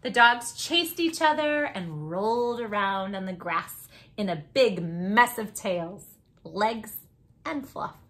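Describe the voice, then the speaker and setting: Bright-voiced woman reading to children. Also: medium pitch, slow pace. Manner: expressive, asks the listening children questions. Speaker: children's read-aloud host. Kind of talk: animated storybook narration with asides to children.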